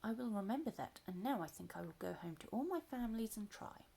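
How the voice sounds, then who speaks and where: A woman's voice: medium pitch, animated, fast talker, creaky, dark tone. A woman voicing a curious, polite young male elephant calf in a storybook read-aloud, with a lifted, eager voice.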